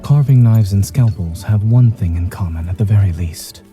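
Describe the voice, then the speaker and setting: A reserved doctor from a romance game played by a man, low, very mellow and measured, the voice guiding your hand through a delicate task. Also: close to an everyday voice. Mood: gentle, instructive. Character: reserved, composed male doctor, gently teasing companion. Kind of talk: video game voice acting. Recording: music under the voice.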